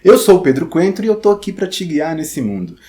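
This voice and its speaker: A man's voice: on the high side, big pitch swings, a little fast, mellow. A Brazilian man giving an informal tutorial, talking straight to the viewer in a theatrical, conversational way. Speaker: theatre teacher and podcast host.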